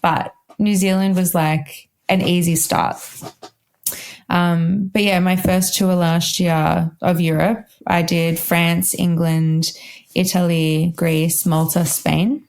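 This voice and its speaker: A woman's voice: lowish voice, level tone, slow, vocal fry, darkish tone. A young woman with an Australian accent, chatting upbeat and casually as an interview guest, with lots of "like" fillers. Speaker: guest, wedding violinist.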